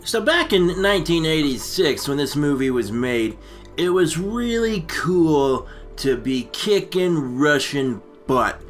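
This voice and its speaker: A man co-hosting a casual comic movie recap, rambling and halting, full of slang. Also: a little high, animated, soft background music. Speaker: comedy storytelling co-host.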